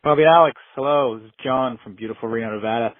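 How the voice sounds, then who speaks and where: A man's voice: mid-range voice, fast talker, no echo. A man leaving a wordy, jokey voicemail as a caller on a narrow phone line.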